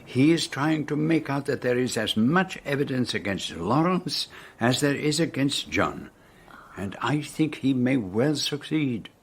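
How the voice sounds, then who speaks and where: An actor playing a shrewd, calm Belgian detective with a French-speaking Belgian accent, measured and precise, in a radio drama. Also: male voice, mid-range voice, a little hiss or hum. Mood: thoughtful.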